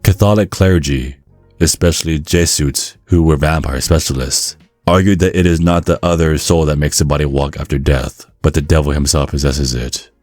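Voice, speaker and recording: A man reading scripted ASMR narration in a measured, storytelling manner. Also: very deep voice, steady tone, darkish tone, no room sound, faint music behind.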